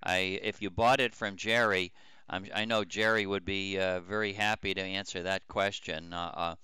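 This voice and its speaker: An older man with a low, very clear voice talks as a ham radio operator. His delivery is halting and explanatory, circling back over his words. The sound is crisp and close, with hardly any room. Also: fairly even intonation, sharp tone, no echo. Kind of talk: unscripted ham radio chat.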